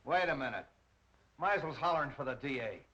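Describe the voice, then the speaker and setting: A harried, bossy police captain from an old crime picture. He is gruff and brusque, with pitch that jumps around as he snaps out orders. The soundtrack is dull and dated. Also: animated, fairly quick, pushed and bright. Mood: exasperated, barking. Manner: brusque, barking orders in clipped slangy phrases. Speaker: actor. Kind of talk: 1930s crime film acting. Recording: dull 1930s film soundtrack.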